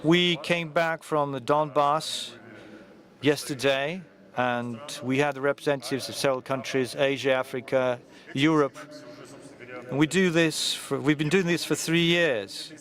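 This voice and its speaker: A man, a journalist and event organizer, giving loose, informal remarks at a forum, with frequent pauses and restarts. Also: a little high, steady tone, a bit bright. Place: large conference hall.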